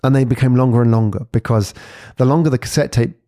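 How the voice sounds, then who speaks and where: Man, forties, medium-pitched voice, casual podcast host, reflective, conversational, dry recording. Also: steady tone, fast talker, warm tone, dry recording. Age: 40s. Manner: reflective, peppers talk with rhetorical questions and tags.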